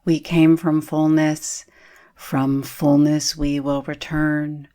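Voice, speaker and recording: A woman with a low voice guides a meditation. She speaks extremely slowly and soothingly. Long pauses separate her short phrases. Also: level tone, warm tone.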